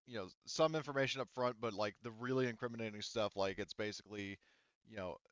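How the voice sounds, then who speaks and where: A man's voice: lowish voice, fairly bright tone, clean tone, dry recording, muffled recording. A man acting as game master, explaining rules and story casually at the table.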